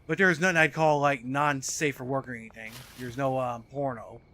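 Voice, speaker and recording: A man's voice: medium pitch, fairly even intonation, normal speed, sharp tone. A man in his forties doing casual live gameplay commentary while chatting with viewers, swearing freely. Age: 40s. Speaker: video game streamer.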